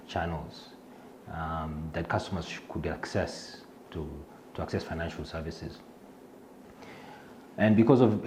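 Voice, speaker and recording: A man's voice: low-pitched, darkish tone, a little hiss or hum. A middle-aged Kenyan man with a Kenyan accent, introducing himself in an interview, hesitant with many fillers and restarts. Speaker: fintech executive.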